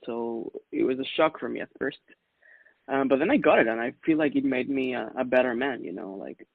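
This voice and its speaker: A man in his thirties from Ecuador, a music producer answering interview questions in a relaxed, chatty way with lots of fillers. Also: mid-range voice, vocal fry, no room sound. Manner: relaxed, chatty, laughs often, many fillers. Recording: dry tinny phone-like sound.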